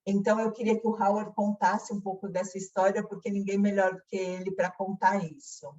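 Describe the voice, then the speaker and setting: A woman's voice: mid-range voice, flat delivery, a little fast, dark tone, muffled recording. A Brazilian woman explaining a company's work in a presentation style, recorded at a distance from the microphone.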